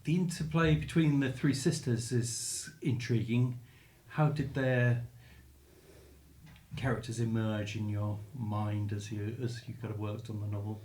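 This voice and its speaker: A man's voice: on the low side, fairly even intonation, measured pace, a little mellow. A man interviewing a writer in a conversational, musing and somewhat tentative way. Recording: faint background ambient noise.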